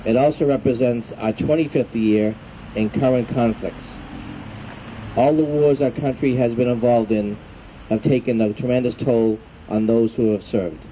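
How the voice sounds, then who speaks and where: A man reading a formal prepared ceremonial speech as a veterans' group commander, solemn and measured. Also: medium pitch, warm tone. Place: outdoor evening ceremony in a park. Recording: muffled, faint outdoor hum and traffic noise.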